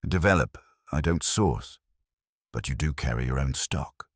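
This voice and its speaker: A man voicing a sharp, guarded woman chef who answers in short, defensive lines. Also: extremely low pitch, expressive, measured pace, dry recording, clean studio sound, heavily acted.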